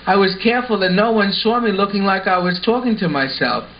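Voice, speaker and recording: A man playing an earnest, excitable schoolboy who explains things eagerly in an amateur puppet show. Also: high-pitched, brisk. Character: Lance, earnest excitable schoolboy puppet spinning wild tales. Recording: muffled audio with faint background noise.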